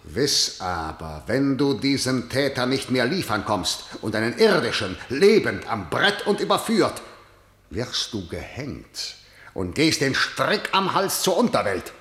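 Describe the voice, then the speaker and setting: A stern city tyrant. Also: male voice, medium pitch, very animated, unhurried, a bit bright. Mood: threatening. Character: harsh, stern male tyrant ruling a city. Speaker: ruler of the city. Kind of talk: classical verse radio drama. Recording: roomy hall reverb.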